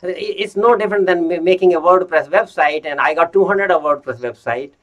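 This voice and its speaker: A man conducting a casual interview, speaking haltingly and often repeating his words as he starts a thought. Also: high-pitched, fairly bright tone. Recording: dull lo-fi audio.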